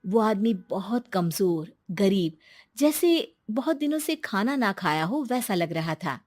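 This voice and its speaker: A woman's voice: mid-range voice, big pitch swings, unhurried, a little mellow, no room sound, studio quality. A woman narrating a moral bedtime story for children in a scripted storytelling style.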